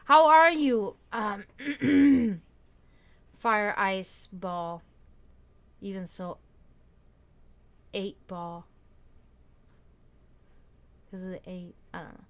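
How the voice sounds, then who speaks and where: Tired Serbian-accented woman, smooth voice. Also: mid-range voice, steady tone. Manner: rambling, halting, with many restarts and fillers. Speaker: livestream host. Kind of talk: casual chat with stream viewers.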